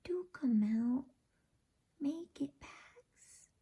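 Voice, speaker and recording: A woman's voice: high-pitched, expressive, drawn-out words, warm tone, pitched above the performer's own voice, heavily acted. A woman voicing a young boy lost in a snowy wood, whispering as he calls out anxiously for someone. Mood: calling anxiously.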